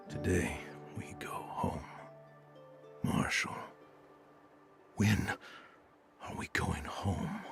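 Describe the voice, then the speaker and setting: A man voicing a weary, self-sacrificing guardian turned monster in a video game, speaking slowly and solemnly with a breathy, fading delivery. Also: low-pitched, full character voice. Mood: wistful. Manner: slow, solemn, halting delivery. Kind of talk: dramatic video game monologue.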